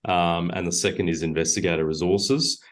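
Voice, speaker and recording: A very low-pitched man speaks as a mining company director in an interview. He answers in a measured, halting way, often pausing on fillers and correcting himself mid-sentence. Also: fairly even intonation.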